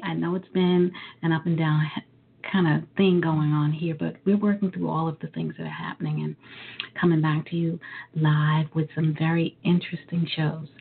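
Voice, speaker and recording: A woman hosts a live talk radio show in a slightly low voice that sounds a little hoarse. She chats easily and fills pauses with ums and uhs. Her voice comes through a tinny, phone-like line. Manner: warm, chatty, with frequent ums.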